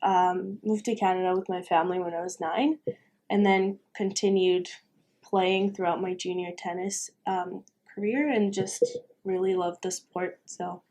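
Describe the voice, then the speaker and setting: A woman's voice: on the low side, steady tone, unhurried, warm tone. A young woman from Romania, a university tennis player, talking casually as a podcast guest with frequent fillers and light laughs. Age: young adult. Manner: chatty, laughs often, many fillers. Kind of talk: casual interview.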